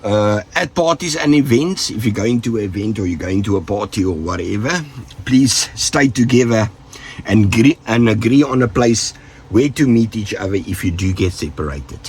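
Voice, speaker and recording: A man's voice: lowish voice, lively intonation, moderate pace, darkish tone, slightly rough. A South African man with the flu, giving casual, joking safety tips to his viewers and rambling off with trailing asides. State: sick with flu, rough voice. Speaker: vlogger.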